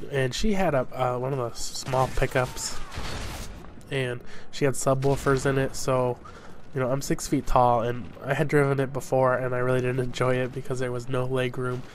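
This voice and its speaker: Young man, congested, from southern Idaho, casual gaming commentary, rambling with fillers, faint background noise. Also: medium pitch. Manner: rambling, chatty, with fillers and laughs. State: congested from a cold. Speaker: gaming YouTuber. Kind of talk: casual commentary over gameplay.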